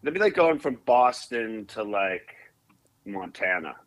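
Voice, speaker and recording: A man's voice: fairly low pitch, sing-song, unhurried. A young Canadian-born man, a comedian, chatting casually in an interview with many fillers and restarts. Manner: rambling, restarts often with fillers. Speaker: comedian guest.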